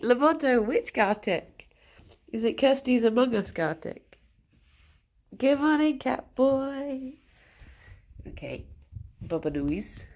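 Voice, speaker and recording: A woman's voice: a little high, gravelly, darkish tone. Stuffy-nosed woman chatting to chat. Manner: chatty, giggly, greets viewers by name. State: stuffed-up nose. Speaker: streamer. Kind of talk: casual chat with live chat.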